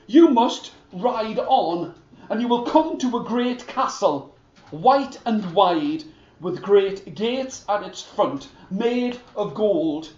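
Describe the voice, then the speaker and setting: A man voicing a calm, wise male talking horse in a fairy tale, speaking low and hushed. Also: slow, reverberant, muffled recording. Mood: instructing.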